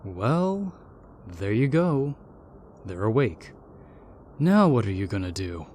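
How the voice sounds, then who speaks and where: A man's voice: fairly low pitch, very animated, slow pace, a little mellow, a little hiss or hum. A man playing a jaded, indifferent, snobbish ghost in a comedic audio roleplay, dry, aloof and sardonic. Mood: bored, sardonic.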